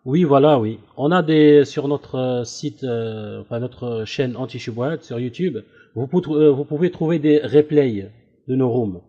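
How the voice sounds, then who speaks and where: A man who hosts an online debate room, talking casually on a call and explaining things in a hesitant, halting way. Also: mid-range voice, warm tone. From Italy. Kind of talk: casual phone conversation. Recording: dull lo-fi recorded call.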